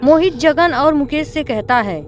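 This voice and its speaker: A woman's voice: sits high, expressive, normal speed. A woman reading scripted narration for a cartoon horror story.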